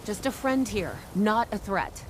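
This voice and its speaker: A woman's voice: medium pitch, animated, a little slow, a little hiss or hum, lightly acted. A woman playing a scrappy, friendly young treasure hunter in a video game, with a casual, earnest and slightly hesitant delivery. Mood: cautious, curious. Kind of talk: naturalistic video game dialogue.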